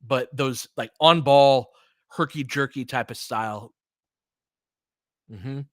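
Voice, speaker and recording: A man's voice: medium pitch, takes it slowly, dry recording. American man casually talking basketball, dry close sound. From California, United States. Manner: analytical, thinking aloud with trailing, self-interrupting sentences. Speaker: host and play-by-play commentator. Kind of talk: casual basketball chat and live commentary, answering listeners.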